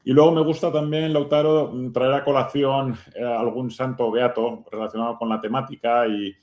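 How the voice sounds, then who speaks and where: A male radio host talks in a mid-pitched voice with a noticeable room echo. He interviews conversationally, pausing often and checking in with short tag questions. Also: steady tone, darkish tone. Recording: roomy, echoing sound.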